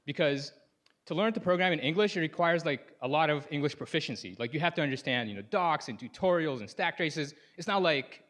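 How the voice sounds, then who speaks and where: A man's voice: a little high, expressive, fairly quick, fairly bright tone. Sudanese man giving a casual tech talk. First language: Arabic. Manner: casual, lots of "like" and "you know" fillers. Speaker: conference speaker. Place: conference stage.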